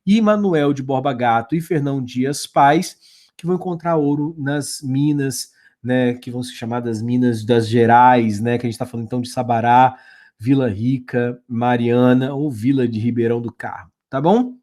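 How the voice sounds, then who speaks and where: A man's voice: mid-range voice, moderate pace, warm tone. A man teaching a history lesson over slides, talking loosely with frequent fillers, restarts and check-ins with the class. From Brazil.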